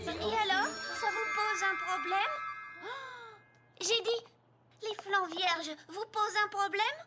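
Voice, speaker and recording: A woman's voice: very high-pitched, slow, music in the background. A woman voicing a bold tomboy filly pony in a French cartoon dub, punchy and spirited. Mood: defiant.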